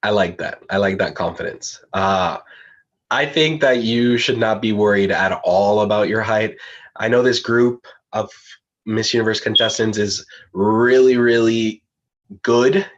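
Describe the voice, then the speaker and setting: A man's voice: lowish voice, a little slow. Upbeat male interviewer with dull audio. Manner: enthusiastic, supportive, repeats words. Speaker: pageant analyst and interviewer. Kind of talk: live online interview. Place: United States.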